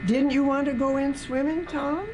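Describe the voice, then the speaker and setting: A woman's voice: sits high, steady tone, moderate pace, darkish tone, a little hiss or hum, pitched above the performer's own voice, natural voice. A woman voicing a shrewd, fond older aunt in folksy rural dialect, as in a storybook. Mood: probing, sly.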